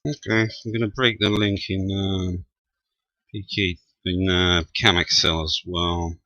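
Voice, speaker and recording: A man giving a casual, unscripted technical demonstration as a radio hobbyist, talking haltingly and half muttering to himself as he works. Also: low-pitched, level tone, pushed and bright, dry recording, lo-fi sound. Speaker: amateur radio operator demonstrating equipment.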